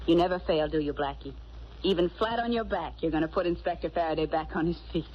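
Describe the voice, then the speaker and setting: An actress playing a brisk, wry, loyal detective's assistant, in 1940s radio drama style. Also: female voice, sits low, natural voice. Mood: wry, teasing. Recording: noisy, muffled old radio recording.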